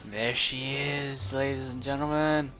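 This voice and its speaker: A man's voice: mid-range voice, level tone, unhurried. A man giving casual, amused and teasing reaction commentary as he hosts a gaming video. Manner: amused, teasing, laughs often. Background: game music.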